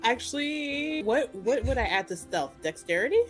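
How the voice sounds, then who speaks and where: A woman's voice: on the high side, animated, moderate pace, faint music behind. A woman chatting casually and playfully as a tabletop game player, often repeating her phrases.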